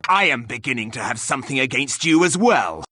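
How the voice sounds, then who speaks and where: An actor playing a blunt, haughty fantasy warrior in a video game, speaking in stiff, formal, deliberate diction. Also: male voice, medium pitch, very animated, pushed and bright, dry recording. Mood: scornful. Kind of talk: video game character acting.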